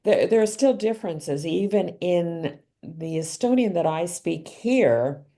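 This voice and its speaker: A middle-aged woman being interviewed about her life, answering in a thoughtful, measured way with frequent ums and restarts. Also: on the low side, expressive, mellow. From Canada. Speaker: author and guest.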